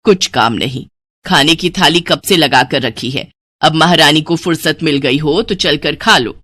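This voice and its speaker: A woman's voice: sits low, a little slow, a bit bright, voice pushed down. A woman playing a grumbling, sarcastic middle-aged mother in an audio story. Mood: annoyed, scolding. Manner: scolding, sarcastic.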